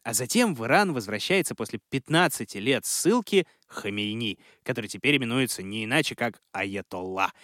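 A man narrates history for a podcast. His pitch rises and falls a lot, and his asides are ironic and colloquial. The sound is dry and close, with no room echo. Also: animated, fairly quick, few pauses, a bit bright. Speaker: podcast host.

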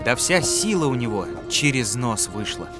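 A man narrating a folk fairy tale with a measured, expressive reading. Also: mid-range voice. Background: music.

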